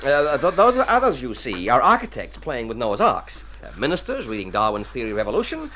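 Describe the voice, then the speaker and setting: An actor playing an eccentric, pompous doctor who hems and haws in a radio comedy. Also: male voice, mid-range voice, huge pitch swings, a little fast, sharp tone. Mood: pompous, distracted. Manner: hemming, pompous. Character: eccentric, hearty doctor prescribing odd rest cures. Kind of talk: mid-century radio comedy acting. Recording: old radio recording with background noise.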